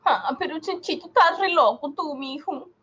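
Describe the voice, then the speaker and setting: A man's voice: very high voice, lively intonation, moderate pace, pushed and bright, highs cut off, voice pushed up, full character voice. A man voicing a fretful, doubting wife who whines and scolds fondly in a comic radio drama. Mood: exasperated. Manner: whining, scolding, affectionate diminutives.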